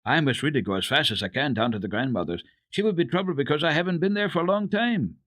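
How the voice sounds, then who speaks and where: An eager, kindhearted little girl in a storybook, as a man reads her lines. The delivery is earnest and expressive, with varied pitch, on a dry recording. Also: quick pace, no echo. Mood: hurried. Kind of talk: dramatic reading of children's classic.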